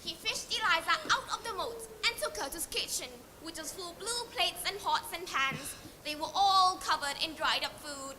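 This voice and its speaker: Very high-voiced teenage girl narrator, Thai accent. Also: normal speed, hardly any pauses, sharp tone. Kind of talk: dramatic scripted story narration on stage. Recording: echoey stage with faint noise.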